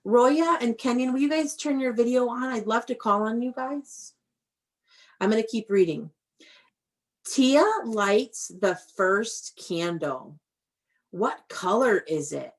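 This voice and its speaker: A woman's voice: fairly high pitch, slow pace. A woman teaching young children in an online read-aloud class, prompting them with questions and encouragement in an instructive way.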